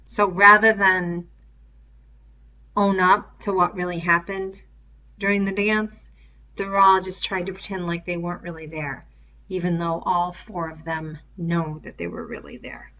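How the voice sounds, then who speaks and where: A woman's voice: on the low side, fairly even intonation, unhurried, sharp tone, muffled recording. A woman reading a story aloud expressively, with explanatory asides. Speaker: reader.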